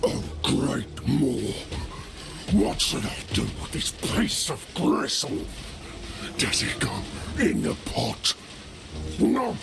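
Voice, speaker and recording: Gravelly, wildly swooping ogre brute. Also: male voice, medium pitch, sing-song, takes it slowly, background noise, exaggerated voice. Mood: booming fervor. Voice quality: guttural, gravelly. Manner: theatrical, swinging from growl to bellow. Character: huge greedy male ogre tyrant, hungry bullying brute. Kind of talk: video game cinematic acting.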